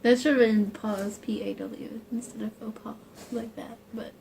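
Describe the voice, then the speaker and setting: A woman with a slightly high voice chats casually as a picture book is read. Her side remarks are wry, joking and bawdy. The home recording has some noise in it. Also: fairly even intonation, normal speed, darkish tone. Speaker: co-reader who comments on the story. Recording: clearly noisy home recording.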